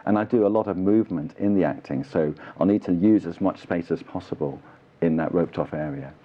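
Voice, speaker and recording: A man's voice: deep voice, fairly quick. A British man, an actor and drama teacher, giving earnest, explanatory answers in a conversational interview. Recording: dull, muffled lo-fi audio.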